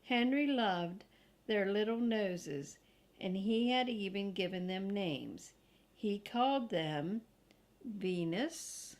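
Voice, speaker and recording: An older woman reads a children's book in a grandmotherly way. Her pace is very unhurried and her voice has a noticeable creak. She speaks deliberately and adds chatty asides for little listeners. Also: medium pitch. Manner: slow, deliberate, grandmotherly read-aloud for young children. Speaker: storybook reader. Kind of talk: reading a children's book aloud with casual asides.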